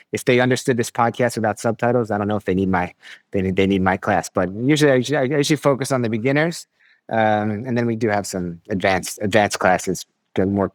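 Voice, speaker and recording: American guy on a podcast, lowish voice, easygoing, stumbles and repeats words, dry sound. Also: dry recording. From United States. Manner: relaxed, repeats words while thinking. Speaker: podcast guest. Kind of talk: casual interview chat.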